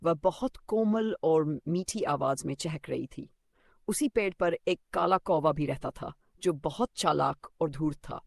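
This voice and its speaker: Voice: medium pitch, measured pace, creaky, no room sound. A narrator reading a scripted children's story.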